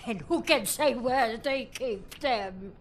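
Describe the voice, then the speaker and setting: An actress playing a weary Polish woman in a video game, speaking halting, simple English with a Polish accent. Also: a little high, slight background noise. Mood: anxious.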